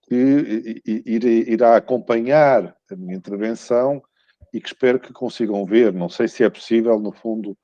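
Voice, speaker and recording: A man with a European Portuguese accent giving a formal, courteous academic talk over a video call, often halting and repeating words. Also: lowish voice, dark tone, no room sound. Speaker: academic guest speaker giving a lecture. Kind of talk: formal conference talk, semi-scripted. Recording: muffled, dull video-call audio.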